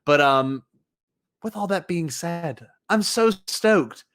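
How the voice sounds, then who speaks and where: Higher-pitched man hosting a jokey podcast. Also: expressive, takes it slowly, fairly bright tone, smooth. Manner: rambling, jokey, playfully hyping. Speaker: podcast host. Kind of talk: casual joking podcast chat.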